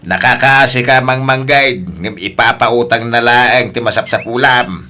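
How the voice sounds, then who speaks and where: Man playing a forceful, scornful old Filipino father. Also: mid-range voice, a little slow. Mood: scolding, bitter. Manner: scolding, forceful, exclamatory. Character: bitter, scornful old father nagging his married son. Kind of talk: radio-style audio drama acting.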